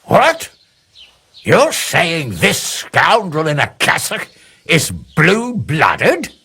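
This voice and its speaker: An actor playing a gruff, haughty middle-aged lord in a video game, with a raspy, gravelly voice and a blunt manner. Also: male voice, fairly high pitch, wildly swooping pitch, very slow, bright, forceful tone, full character voice. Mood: indignant.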